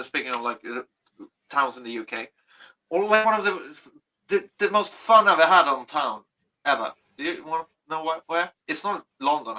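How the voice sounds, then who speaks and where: Animated, swearing Swedish man, bright voice. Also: medium pitch, low-quality recording. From Sweden. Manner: swears constantly, animated, rambling with restarts. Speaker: podcast co-host. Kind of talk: casual chat.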